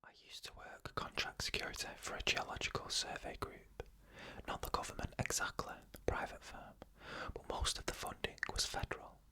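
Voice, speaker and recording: Bright, breathy ASMR whisper narrator. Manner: fully unvoiced, breathy whisper throughout; slow, measured delivery with drawn-out pauses. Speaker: ASMR storyteller.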